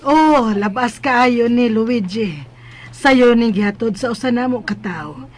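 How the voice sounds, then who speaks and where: A woman's voice: fairly high pitch, animated, a little slow. An actress with a Cebuano Filipino accent playing a hospitable lady of the house in a radio drama, composed and obliging. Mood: pleased.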